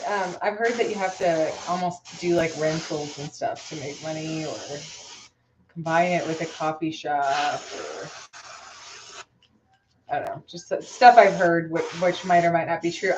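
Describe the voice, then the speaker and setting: A woman's voice: fairly low pitch, background noise. Rambling woman chatting while she paints. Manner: rambling, hesitant, many fillers and restarts. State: slightly hoarse after losing her voice. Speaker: lure painter streaming live. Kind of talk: casual live-stream chat while working.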